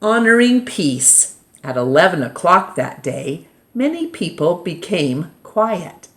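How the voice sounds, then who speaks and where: An American woman reading a children's nonfiction book aloud like a storytime teacher, deliberately, pausing between short phrases. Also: fairly low pitch, sing-song.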